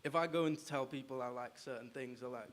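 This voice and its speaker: A man's voice: medium pitch, brisk. A young man speaking frankly on a panel, hesitant, with many "um"s. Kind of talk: casual panel talk.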